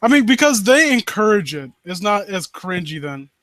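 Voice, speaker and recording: A man's voice: high-pitched, big pitch swings, moderate pace, a bit bright, no echo, clean studio sound. A man hosting a live stream in casual chat, blunt and given to swearing. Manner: blunt, profane. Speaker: host of a live critique stream.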